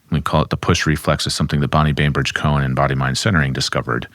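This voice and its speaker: A man, a somatic therapist, calmly explaining and demonstrating grounding exercises at his desk. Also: rumbling low, no room sound, studio quality. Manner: calm, instructive, speaks while demonstrating.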